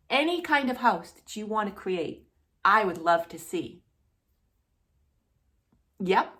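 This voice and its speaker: Slow, high-voiced woman talking to young children. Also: fairly bright tone. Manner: sing-song, encouraging, asks and answers questions as if chatting with young listeners. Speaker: host of a children's storytime channel.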